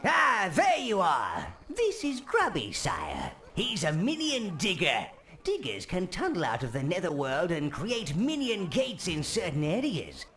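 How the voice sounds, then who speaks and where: An actor playing a scheming old minion advisor in a video game, with a wheezy, gravelly voice and a fawning, wickedly relishing manner. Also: male voice, high-pitched, huge pitch swings, a little slow, fairly bright tone, slight background noise, exaggerated voice. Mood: scheming instruction. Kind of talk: video game cutscene acting.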